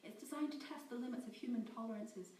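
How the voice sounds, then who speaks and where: A woman's voice: fairly high pitch, brisk, voice pushed up, natural voice. Woman voicing a frantic captive woman scientist. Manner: panicked, pleading, rising to wails. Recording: roomy, distant, faint background hiss.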